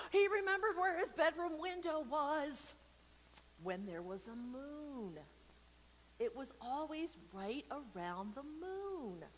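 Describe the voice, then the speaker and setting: A woman's voice: high voice, slow, slight background noise. Playful woman storyteller in a reverberant space. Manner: playful, expressive, with silly sound effects and asides; informal and encouraging, talking straight to viewers. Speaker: storyteller and church program host. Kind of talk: casual read-aloud for kids with chatty asides.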